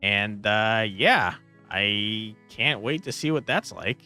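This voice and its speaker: A man's voice: fairly low pitch, lively intonation, takes it slowly, sharp tone, soft background music. A man hosting a gaming video with casual, informal, enthusiastic commentary. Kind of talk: casual game commentary, sometimes reading game text aloud.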